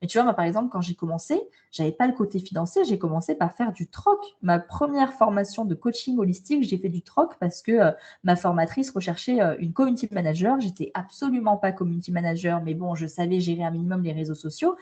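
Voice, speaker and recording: A woman's voice: fairly low pitch, fast, few pauses, dark tone. A French woman coaching women, talking frankly and emphatically in a casual interview, often repeating her starts. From France. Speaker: guest, holistic coach. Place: travelling abroad, indoors.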